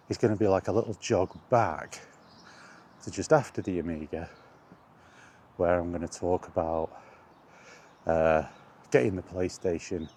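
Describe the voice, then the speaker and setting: A man with a low, mellow voice rambles casually while out on a walk. He thinks aloud in short, unhurried phrases as he hosts his vlog, with some outdoor noise around him. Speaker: host of a walk-and-talk retro gaming vlog.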